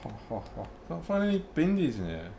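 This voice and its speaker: A man's voice: on the high side, wildly swooping pitch, brisk, creaky, dark tone, slight background noise. A man hosting a podcast, chatting casually in a dry, laid-back way, trailing off with ums. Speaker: podcast host. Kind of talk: casual chat.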